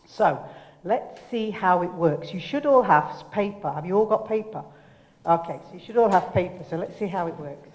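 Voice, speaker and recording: An older woman giving a motivational keynote speech, loud and commanding, directing the audience. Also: fairly low pitch, animated, moderate pace. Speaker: keynote speaker. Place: lecture hall. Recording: lecture hall reverb.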